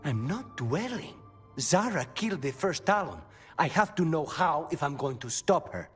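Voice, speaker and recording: A man's voice: fairly high pitch, very animated, moderate pace, fairly bright tone, husky, soft background music, full character voice. An actor playing a brooding, guarded male assassin with an intense streak in a video game. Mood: urgent, agitated.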